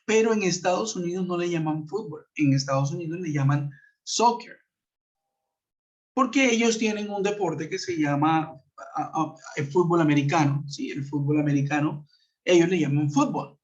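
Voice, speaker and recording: A man with a Colombian Spanish accent explains a lesson patiently over a web conference, with a somewhat high voice. He fills his speech with hesitations and checking questions to his students. The sound is dull and lo-fi, as from a computer mic on a call. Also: moderate pace. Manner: explanatory, many fillers and tag questions. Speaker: English teacher. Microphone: computer mic on a video call. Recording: muffled lo-fi web call audio.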